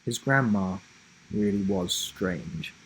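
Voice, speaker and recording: A man reading a children's story aloud in a dramatic, deliberate narrating style. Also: low-pitched, a little hiss or hum, rough recording. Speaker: storybook reader, host.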